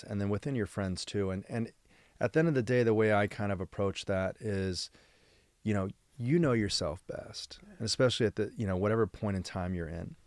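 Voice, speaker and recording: A man from San Diego hosts a podcast, his voice sitting on the low side. He rambles reflectively and thinks aloud as he goes. The recording is dry, with no room sound. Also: dry recording. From San Diego, United States. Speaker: podcast host. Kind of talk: casual interview conversation.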